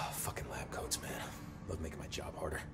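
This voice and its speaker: An actor playing a blunt, wry security officer in a video game, talking casually with a sardonic streak. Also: male voice, moderate pace, close to an everyday voice. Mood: irritated. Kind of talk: video game dialogue acting. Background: music.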